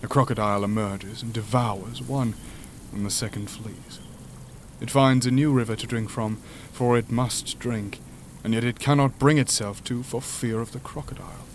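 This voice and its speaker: An actor playing an imprisoned alien monster, gentle and philosophical, speaking in a formal, measured way for an audio drama. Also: male voice, mid-range voice, fairly even intonation, slight background noise, natural voice. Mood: gentle, grave. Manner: formal, measured, stilted speech.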